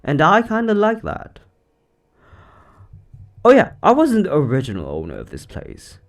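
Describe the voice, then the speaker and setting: A man playing an eccentric, secretly sinister occult shop owner in a roleplay monologue, chatty and offhand with theatrical, drawn-out words. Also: on the high side, wildly swooping pitch, heavily acted. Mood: breezy, smug. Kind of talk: scripted roleplay monologue to the listener.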